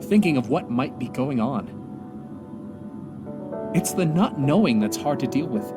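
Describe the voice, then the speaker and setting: A man playing a weary, grieving astronaut commander stranded alone, speaking an earnest, halting audio drama monologue. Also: medium pitch, expressive, over music, close to an everyday voice. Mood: anxious, resolute.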